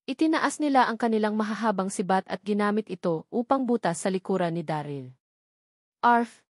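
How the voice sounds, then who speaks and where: Woman, audiobook narrator, scripted novel reading, mid-pitched voice, dry close recording. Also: darkish tone, dry recording.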